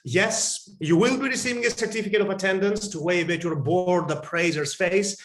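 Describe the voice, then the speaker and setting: A man's voice: high-pitched, normal speed, fairly bright tone. Playful Greek-accented man, roomy sound. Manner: witty, playful, joking asides. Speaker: conference co-chair. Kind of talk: closing remarks at an online conference. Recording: roomy echoey video-call audio.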